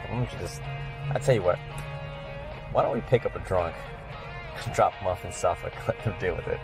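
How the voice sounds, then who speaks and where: A man's voice: sits low, normal speed, music in the background. A man playing a bored, joking patrol cop. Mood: mischievous. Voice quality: joking, scheming. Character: bored male patrol cop itching for mischief.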